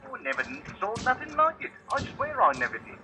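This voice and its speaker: Lively, rambling man. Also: very high voice, brisk, full character voice. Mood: flustered, folksy. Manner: rambling, folksy, repeats himself. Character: chatty working-class cockney cat owner, folksy street interviewee. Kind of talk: 1950s radio drama acting. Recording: vintage 1950s radio broadcast, music behind.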